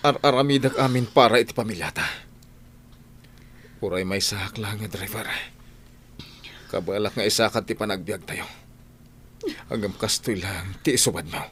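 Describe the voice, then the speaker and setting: A man's voice: fairly low pitch, a bit bright, slight background noise, close to an everyday voice. A man with an Ilocano accent playing a hardworking driver and betrayed husband in a radio drama.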